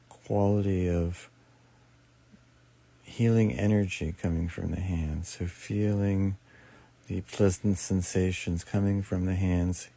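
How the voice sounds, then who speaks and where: A man leading a guided meditation as teacher, speaking soothingly in short, unhurried phrases with long pauses. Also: low voice, vocal fry, mellow. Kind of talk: guided meditation, improvised soft instruction.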